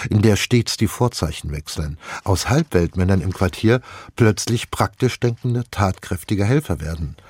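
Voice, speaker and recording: A man reading a scripted literary review as a radio critic, with a husky voice. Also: low voice, darkish tone, dry recording, studio quality.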